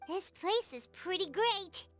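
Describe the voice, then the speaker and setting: A woman's voice: lively intonation, takes it slowly, fairly bright tone, faint music behind, exaggerated voice. A woman voicing a small, chirpy, childlike fairy companion in an anime video game, cute, earnest and supportive. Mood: friendly, encouraging. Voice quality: squeaky, childlike. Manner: speaks of herself in third person, cute and earnest.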